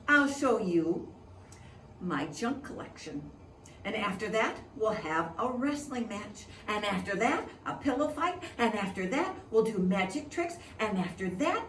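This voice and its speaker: An excitable storybook boy full of schemes , played by a woman reading aloud, drawing out words with relish. The pitch leaps around in an echoey room. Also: slight background noise. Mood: excited. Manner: emphatic, drawn-out listing with relish. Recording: echoey room, distant mic.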